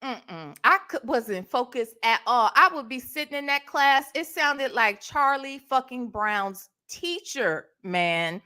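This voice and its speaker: Casting: middle-aged American woman, livestream storyteller, higher-pitched voice, sassy and emphatic, conversational delivery. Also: slow pace, hardly any pauses. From Ohio, United States. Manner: animated, emphatic, sassy, conversational with tag questions. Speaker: livestream host. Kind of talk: casual storytime chat.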